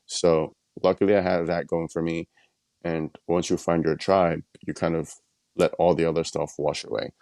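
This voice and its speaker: A man's voice: sits low, flat delivery, moderate pace, a little mellow, dry recording. A man from Nigeria, a guest on a podcast, answering questions in a reflective way with many "you know"s and "um"s. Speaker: guest artist. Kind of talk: relaxed interview answers.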